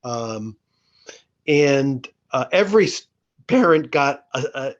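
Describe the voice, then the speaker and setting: A man giving an informal report as a school board chair, explaining things in a halting way. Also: mid-range voice, expressive. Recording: dull lo-fi meeting audio.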